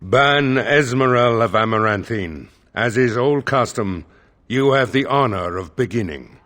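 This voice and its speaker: An actor playing a dutiful, wary seneschal in a fantasy game, measured and deferential in his speech. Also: medium pitch, animated, a bit bright. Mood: formal. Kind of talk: scripted fantasy game dialogue.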